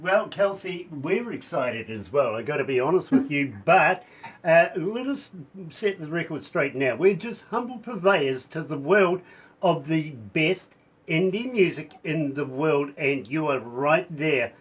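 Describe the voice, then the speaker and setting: A man with a husky, somewhat hoarse voice hosts a radio interview, pitched a touch high. He gushes and chats warmly with his guest, laughing between lines. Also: animated, measured pace, rough recording. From New Zealand. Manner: effusive, chatty, laughs often. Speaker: radio host.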